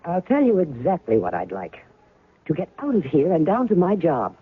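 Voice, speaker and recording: An actress playing a wary, sharp-tongued court stenographer in a 1970s radio drama, with quick retorts and nervous stammers when rattled. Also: female voice, sits low, sing-song, husky, exaggerated voice. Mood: indignant. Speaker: first-person narrator and lead character. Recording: tinny, lo-fi old radio broadcast with faint hiss.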